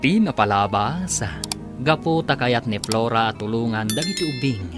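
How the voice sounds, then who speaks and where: A man's voice: mid-range voice, lively intonation, moderate pace. Male radio announcer over guitar music. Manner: formal, emphatic announcing. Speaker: announcer and narrator.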